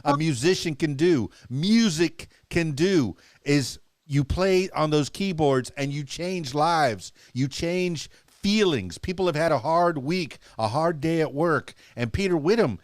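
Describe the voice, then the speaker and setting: A man's voice: fairly high pitch, lively intonation, measured pace, hardly any pauses, dry recording, clean studio sound. A man with a Cuban Spanish accent hosting a casual podcast interview, talking in an effusive, chatty and playful way. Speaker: podcast host.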